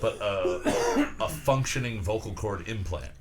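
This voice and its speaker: A man's voice: on the low side, animated, measured pace, music in the background. A man hosting a casual podcast, asking lots of follow-up questions and rambling through examples with casual swearing. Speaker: podcast host. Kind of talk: casual chat. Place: houseboat.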